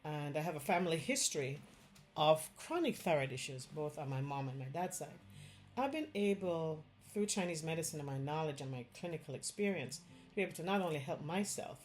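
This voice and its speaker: Low-voiced Jamaican woman doctor. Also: darkish tone, slight background noise. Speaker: Chinese medicine doctor. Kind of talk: direct-to-camera health talk.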